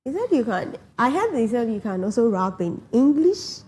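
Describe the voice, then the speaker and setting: A chatty woman hosts a morning show interview, her voice somewhat husky and her pitch lively and bouncing. She asks her questions casually and fills the gaps with quick "okay"s. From Ghana.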